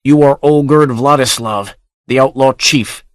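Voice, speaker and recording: A man voicing a wounded barbarian warrior who rasps and pants out defiant words. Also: mid-range voice, a little slow, no echo, pitched above the performer's own voice, close to an everyday voice. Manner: rasping, panting.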